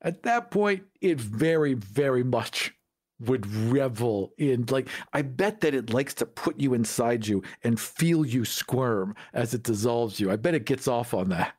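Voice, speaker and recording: A man's voice: medium pitch, animated, measured pace, a little mellow. A man co-hosting a podcast in casual debate, giving his opinions emphatically. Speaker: podcast co-host.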